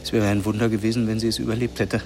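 An actor playing a shaken, grieving middle-aged father in a radio play, speaking in halting, restrained phrases. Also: lowish voice, little pitch movement, over loud music, natural voice. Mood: stunned. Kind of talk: naturalistic radio play acting.

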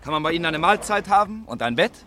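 An actor playing a jovial, pragmatic young army doctor in a German radio play, brisk and teasing. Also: male voice, on the high side, expressive, lightly acted. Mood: brisk, friendly. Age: young adult. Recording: noisy crowd behind the voice. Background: busy tavern crowd.

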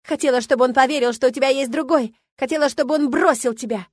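Female reader as furious younger romantic rival, high shrill voice, shouted accusations, spiteful, dramatic audiobook dialogue. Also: quick pace, no echo, studio quality. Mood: spiteful, frantic.

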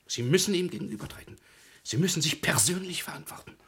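An actor playing a grave, formal legal counsellor and anxious family go-between in a classic German radio drama, measured and solemn. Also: male voice, a little high, animated, a bit bright, a little hiss or hum. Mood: grave, urgent.

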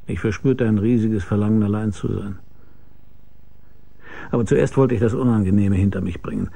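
An actor playing a weary, laconic, deadpan hard-boiled private detective who narrates his case in a radio crime drama. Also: on the low side, normal speed, gravelly, husky. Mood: grim, deadpan.

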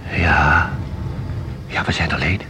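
An actor in a radio play playing a nervous, hesitant museum visitor, halting and stammering as he repeats himself. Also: rumbling low, steady tone, background noise. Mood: hesitant, uneasy.

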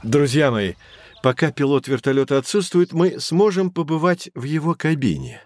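A man's voice: fairly high pitch, lively intonation, normal speed, darkish tone, no echo, studio quality, close to an everyday voice. A man playing a learned, patient guide who explains things to children in formal, didactic phrases in an educational audio drama. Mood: genial.

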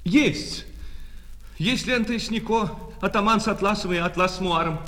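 An actor in a radio play voicing a lovesick shop clerk who swings between polite sales patter and bitter reproach. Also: male voice, high voice, normal speed, bright, forceful tone. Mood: flustered, covering up. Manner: servile sales patter alternating with reproachful, insistent talk. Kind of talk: Russian radio play of a classic short story. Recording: long reverb with music behind.

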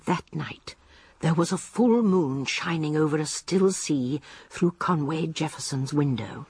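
An actress playing a shrewd, composed elderly English spinster detective in a radio drama, with a gentle, breathy voice. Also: female voice, deep voice, measured pace, exaggerated voice. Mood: measured, narrating. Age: older.

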